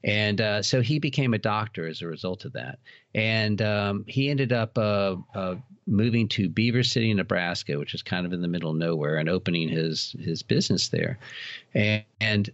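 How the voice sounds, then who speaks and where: A man's voice: lowish voice, normal speed. A man talking casually as an interview guest, telling rambling, enthusiastic anecdotes. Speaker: guest, collector and writer. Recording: dull, roomy sound.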